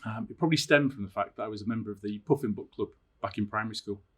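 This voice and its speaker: A middle-aged man, a teacher, talking about books and reading a story aloud with expressive, dramatic emphasis. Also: fairly low pitch, rapid-fire, breathy edge. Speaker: science teacher reading a favourite book aloud. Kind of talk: personal introduction and storybook reading.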